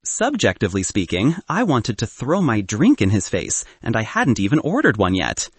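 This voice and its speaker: A man reading a scripted first-person story as an audiobook narrator, with an expressive, wry delivery. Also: fairly low pitch, moderate pace, slightly rough, no echo.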